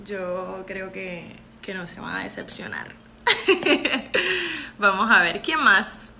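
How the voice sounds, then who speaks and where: Young puerto rican woman, super bright tone, giggly live q&a, phone held close. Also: mid-range voice, slow, creaky. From Puerto Rico. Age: 20s. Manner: bubbly, laughs often, switches between English and Spanish. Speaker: pageant contestant hosting a livestream. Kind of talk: live Q&A reading viewer comments. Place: bright room indoors. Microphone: phone camera held close.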